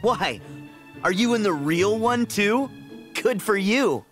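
A man's voice: high voice, lively intonation, slow, soft background music. A man playing an earnest, plucky teenage boy hero in a video game, blunt and casual in manner. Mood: wary, defiant. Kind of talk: video game cutscene acting.

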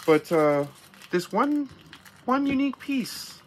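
A man's voice: very high voice, big pitch swings, slow, a little hiss or hum. A man in his thirties giving a casual, profane, hyped product review in slang, seated in a small camper van and talking into a camera close by. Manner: hyped, slangy, swears a lot.

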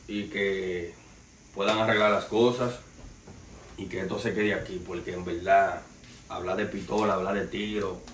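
A young man with a Dominican Spanish accent rambles through celebrity gossip and street stories from his sofa, with a fairly low voice. He was recorded by a camera across a small, bare room, so his voice sounds distant and roomy under faint hiss. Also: a bit bright. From Dominican Republic. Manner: loose, street-slang storytelling, addresses "señores". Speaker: podcast host. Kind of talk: casual entertainment news commentary. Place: small living room, indoors, seated on a sofa. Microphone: far camera, no mic seen. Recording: roomy echo, distant mic, faint noise.

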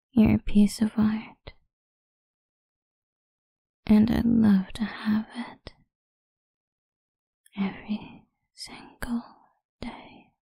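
Slow whispering woman as a seductive vampire idol. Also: medium pitch, dark tone, no echo, full character voice. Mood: possessive, hungry. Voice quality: breathy, husky whisper. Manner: slow, breathy, intimate, adoring and possessive. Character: possessive, seductive female goth vampire idol. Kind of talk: whispered ASMR roleplay. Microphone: close microphone.

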